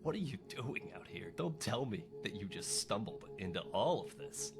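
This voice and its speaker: A man voicing a wounded rebel fighter in a video game, weary, strained and halting, with a wry, loyal temper. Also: fairly low pitch, sing-song, over music. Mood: strained, wary. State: injured, in pain. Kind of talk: video game character dialogue.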